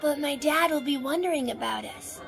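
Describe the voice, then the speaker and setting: A woman plays a young boy in an audio drama, with a high voice. He sounds trusting, hopeful and polite, with soft music behind him. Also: normal speed, creaky.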